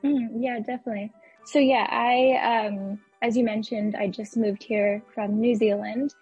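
A woman's voice: a little high, steady tone, a little slow, a little mellow, husky, lo-fi sound, faint music behind. A young woman from Arizona, a graphic designer guest, talking in a friendly way full of "like" and "um". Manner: friendly, lots of "like" and "um". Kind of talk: casual interview.

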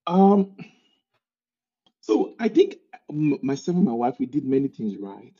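Husky Nigerian man, lively storyteller. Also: mid-range voice, unhurried, lo-fi sound. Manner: animated storyteller, often laughing mid-sentence. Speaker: podcast guest. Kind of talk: casual interview conversation.

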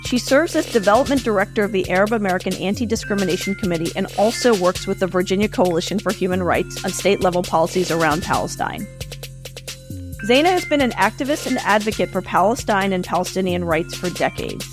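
A woman hosting a podcast, forceful and emphatic, repeating points for punch as she rails and then interviews her guest. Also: mid-range voice, animated, fast, over music. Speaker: podcast host. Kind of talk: impassioned opinion monologue and interview.

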